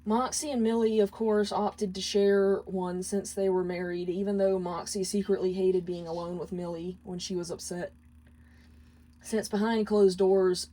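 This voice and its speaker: A woman's voice: medium pitch, warm tone, poor audio. A woman reading a story aloud as scripted narration. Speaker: fan fiction reader.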